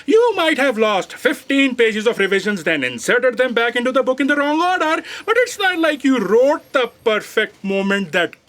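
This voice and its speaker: A woman's voice: on the high side, sing-song, moderate pace, few pauses, fairly bright tone. A woman playing a dutiful office aide in a comic audio drama, dry and snide in manner. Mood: dry, teasing.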